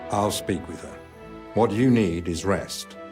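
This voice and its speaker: An actor playing a learned, stern but caring medieval physician in a video game, clipped and authoritative. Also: male voice, deep voice, takes it slowly, music in the background. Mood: calm, instructing. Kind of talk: video game cutscene acting.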